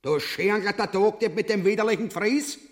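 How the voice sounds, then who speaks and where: A man's voice: high-pitched, moderate pace, close to an everyday voice. An actor playing a greedy, vulgar tyrant king with an Austrian accent, pompous and coarse in a grotesque stage comedy. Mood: bullying, scornful. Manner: pompous proclamations mixed with coarse barking insults. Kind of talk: grotesque theatrical comedy acting.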